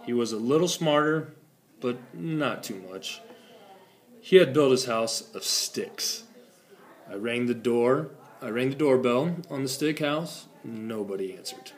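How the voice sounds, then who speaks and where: A man's voice: medium pitch, measured pace, close to an everyday voice. Man playing a chatty, smug storybook wolf. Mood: matter-of-fact, sly. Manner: conversational, self-justifying, mock-innocent. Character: smug, chatty wolf protesting his innocence. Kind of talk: animated storybook read-aloud.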